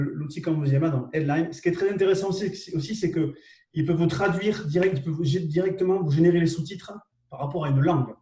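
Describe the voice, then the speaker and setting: A man's voice: a little high, warm tone, reverberant, far from the mic. A man with a southwest French accent presents tools on a live webinar, talking hesitantly with lots of fillers and repeats, and often checks in with his listeners. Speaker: co-host presenting marketing tools.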